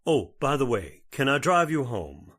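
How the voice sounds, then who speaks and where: A man's voice: mid-range voice, sing-song, unhurried, fairly bright tone. A man playing a blustery judge in old-time radio horror, hearty and theatrical in manner. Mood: genial. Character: genial, unworried judge turning blustery and alarmed.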